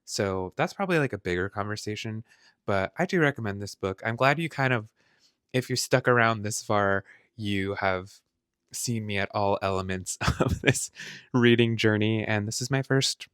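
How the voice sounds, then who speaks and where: Casual male vlogger, lower voice, animated and slangy, reacts in emphatic bursts. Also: normal speed, smooth. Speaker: book vlogger. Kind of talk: casual live reading-reaction vlog.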